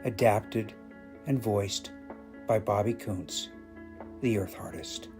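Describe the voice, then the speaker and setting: A man's voice: on the low side, slow, over music. A man narrating a scripted short story as the storyteller.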